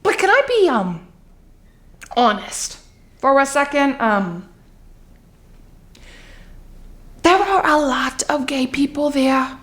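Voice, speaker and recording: A woman acts out a middle-aged Long Island housewife in a comic theatrical monologue. Her voice is high, and she confides in a nervous, candid, rambling way with hesitant asides. The room around her is echoey. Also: lively intonation, unhurried, a bit bright. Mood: confiding.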